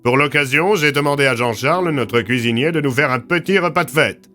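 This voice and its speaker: An actor playing a commanding military chief in an audio drama, formal, gracious and given to speech-making. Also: male voice, mid-range voice, a little fast, music in the background, natural voice. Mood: formal, congratulatory. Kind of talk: French audio fiction acting.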